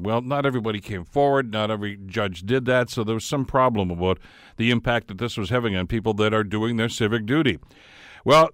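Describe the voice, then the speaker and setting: A man's voice: medium pitch, fairly bright tone, dry recording, studio quality. A man hosting a talk radio show, interviewing with frequent restarts and fillers.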